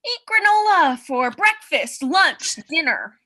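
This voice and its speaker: A woman's voice: very high-pitched, lively intonation, slow, pushed and bright, heavily acted. A woman acting a wry, frazzled character stuck in lockdown, in a staged reading of a play.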